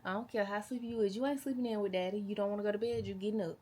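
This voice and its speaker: A woman in her twenties chatting casually to camera as a vlogger, with a playful, animated and slangy way of talking. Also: mid-range voice, racing, faint music behind. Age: 20s. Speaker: vlogger, mother.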